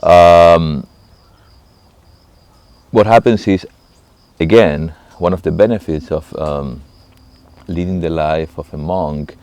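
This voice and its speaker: A middle-aged monk with an Argentine Spanish accent speaks in an extremely deep voice with a gravelly rasp. He talks reflectively and haltingly, often stopping to find the next word. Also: a little mellow. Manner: halting and thoughtful, pausing often to search for words. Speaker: monk, abbot of a monastery. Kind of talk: reflective spoken talk to camera.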